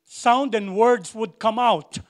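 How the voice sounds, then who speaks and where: A man's voice: high-pitched, takes it slowly. A man preaching a sermon to a congregation, repeating phrases for emphasis and asking the church questions. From Philippines. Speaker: pastor.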